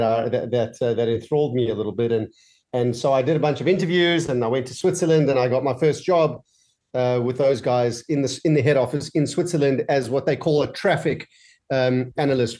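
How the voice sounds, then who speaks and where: A man's voice: mid-range voice, fairly quick. Guy in his 40s, mixed south african and aussie accent, laid-back rambly talk on a video call from home. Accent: mixed South African and Australian. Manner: relaxed, many fillers and restarts. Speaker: guest entrepreneur. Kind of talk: casual interview chat. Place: home office.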